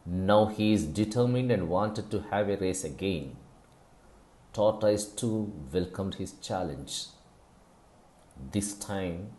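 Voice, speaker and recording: Male storyteller for scripted moral fable: lowish pitch, very unhurried, deliberate and instructive. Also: warm tone.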